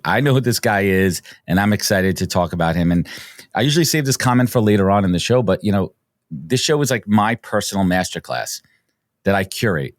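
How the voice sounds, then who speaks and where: Eager podcast host with a deep, dry voice. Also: male voice, no room sound. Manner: enthusiastic, restarts and repeats words. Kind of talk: casual interview chat.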